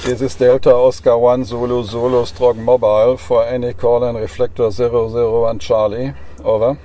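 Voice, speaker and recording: Man, German accent, dark mellow tone, monotone delivery, halting, courteous, ham radio operator. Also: mid-range voice, slight background noise. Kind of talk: amateur radio contact, spelling in phonetic alphabet. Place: inside a parked car.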